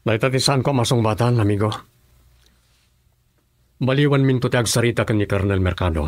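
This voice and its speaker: A man's voice: medium pitch, brisk, no echo, clean studio sound, close to an everyday voice. A man with an Ilocano accent playing an earnest, firm provincial governor in a radio drama.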